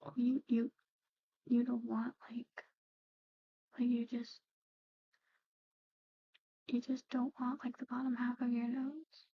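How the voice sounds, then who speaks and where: A woman performs an ASMR roleplay in a high, mellow voice that keeps slipping into whispers. Her delivery is hesitant and halting, staying within a narrow, even range. The recording sounds slightly dull. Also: breathy edge, dry recording.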